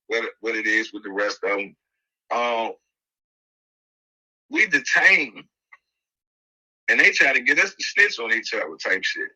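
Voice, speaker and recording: A man's voice: medium pitch, sharp tone, rough recording. A middle-aged American man telling a personal story on a podcast in casual, slangy street talk, emphatic and acting out the dialogue as he goes.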